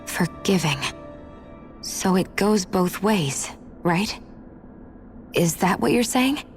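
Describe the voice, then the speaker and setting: An actress playing a guarded, reflective young heroine in a video game, speaking thoughtfully and earnestly. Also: female voice, medium pitch, animated, takes it slowly. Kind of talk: dramatic video game cutscene acting. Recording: music playing behind the voice.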